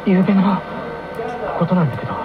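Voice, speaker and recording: A man's voice: sits high, a little fast, crowd noise, lightly acted. An actor playing a flustered, pleading teenage boy who stammers and hesitates, in a radio drama. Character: flustered, pleading teenage boy on trial over drunken mistake. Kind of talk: 1990s radio drama acting. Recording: muffled AM radio broadcast, music behind.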